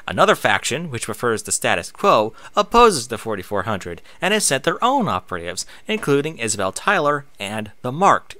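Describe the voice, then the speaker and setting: Animated man with a bright voice. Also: medium pitch, dry recording. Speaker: online reader and streamer. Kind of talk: reading aloud with casual off-script asides.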